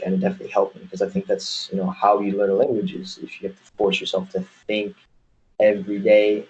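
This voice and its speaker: Low, even-voiced American man chatting. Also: a little hiss or hum, rough recording. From United States. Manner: rambling, explanatory, peppered with "you know". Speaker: interview guest. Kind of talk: casual conversational interview.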